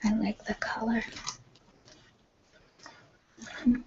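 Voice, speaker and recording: A woman voicing a gentle retreat healer in a whispered ASMR roleplay, soft and reassuring. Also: on the high side, little pitch movement, very slow, lo-fi sound, a little hiss or hum. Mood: soothing, hushed. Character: gentle, soothing female retreat healer attending a client.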